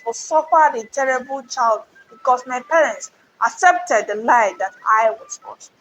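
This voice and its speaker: Woman voicing a solemn, high-pitched heroic storyteller man. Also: fairly bright tone, rough recording. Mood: proud, declaring. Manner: solemn, authoritative, declamatory. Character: mighty, defiant male hero telling solemn riddling tales.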